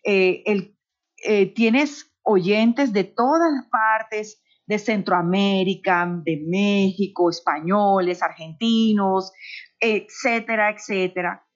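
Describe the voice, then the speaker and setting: A middle-aged woman with a softened Colombian Caribbean accent, chatting expressively as an interview guest. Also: mid-range voice, slow. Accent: Colombian Caribbean coast, somewhat neutralized. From Barranquilla, Colombia. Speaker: interview guest, radio host by profession. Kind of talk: conversational interview, personal anecdotes. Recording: dull lo-fi internet call audio.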